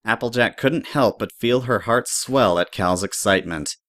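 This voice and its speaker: A man's voice: lowish voice, normal speed, fairly bright tone, no echo, studio quality. A man reading scripted story narration as the narrator of an audio drama.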